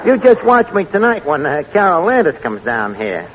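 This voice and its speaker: An actor playing a brash, lovesick tavern manager with a New York accent who mangles fancy words, in 1940s radio comedy style. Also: male voice, high voice, sing-song, normal speed, a crowd in the background. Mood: defensive. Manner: malapropisms, self-important, slangy. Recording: noisy, muffled 1940s radio broadcast.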